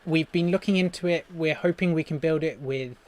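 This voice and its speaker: A man's voice: on the high side, a little fast. A man from the UK, co-hosting a casual live stream, reading viewer comments with frequent laughs. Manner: cheerful, laughs often.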